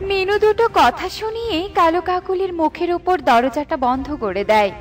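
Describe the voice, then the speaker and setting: A woman's voice: extremely high pitch, very animated, normal speed, music in the background. A woman narrating a scripted children's story.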